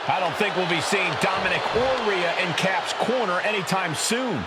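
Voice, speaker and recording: A man playing a brisk wrestling commentator in a video game. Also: high-pitched, close to an everyday voice. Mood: emphatic. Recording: loud crowd and noise behind.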